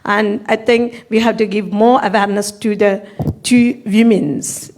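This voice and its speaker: A woman's voice: medium pitch, fairly even intonation, unhurried, darkish tone. A woman from Sri Lanka, a Tamil speaker, earnestly giving an audience comment at a panel Q&A and searching for words. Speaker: audience member.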